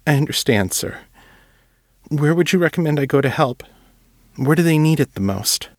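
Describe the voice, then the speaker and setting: A man's voice: a little high, expressive, moderate pace, dry recording, clean studio sound, voice pushed up. A man voicing an earnest, humble young male writer who speaks hesitantly and sincerely in an audiobook.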